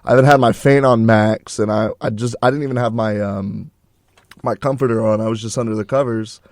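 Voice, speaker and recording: A man's voice: lowish voice, fairly quick, no echo. Young man chatting in a dry studio. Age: young adult. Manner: rambling, relaxed storytelling with fillers. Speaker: co-host. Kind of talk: casual chat. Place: college radio studio.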